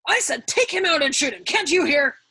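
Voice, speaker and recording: A woman's voice: high voice, sing-song, brisk, sharp tone, no echo, pitched above the performer's own voice, exaggerated voice. A woman voicing a domineering, furious male general in a storybook, roaring out terse, stern orders. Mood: enraged, bellowing.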